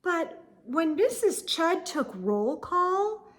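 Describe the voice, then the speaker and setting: A woman reading a children's picture book aloud in an expressive, theatrical way, lingering on words. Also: extremely high pitch, takes it slowly. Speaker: storytime reader.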